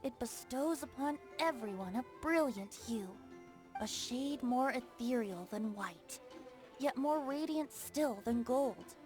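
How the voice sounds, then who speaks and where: A woman's voice: on the high side, lively intonation, takes it slowly, darkish tone, faint music behind. A woman voicing a gracious, youthful poet-bard in a video game, whimsical, courteous and lyrical in delivery. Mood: tender. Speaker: game character voice actor. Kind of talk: voiced game dialogue, poetry recital.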